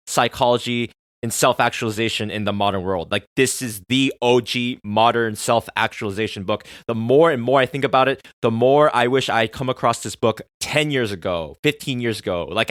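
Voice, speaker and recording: A man in his thirties, a native Korean speaker, hosting a solo podcast and talking earnestly, moving between soft musing and loud excited bursts. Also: medium pitch, no room sound, clean studio sound. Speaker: podcast host.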